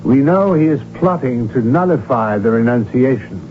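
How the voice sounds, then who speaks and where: A man's voice: medium pitch, animated, normal speed, slight background noise, lightly acted. A man playing a scheming, obsequious prince in a radio drama, formal and insistent in his address. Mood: solemn, urgent. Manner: formal, deferential, pressing. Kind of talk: 1970s radio drama acting. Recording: muffled, humming old radio broadcast recording.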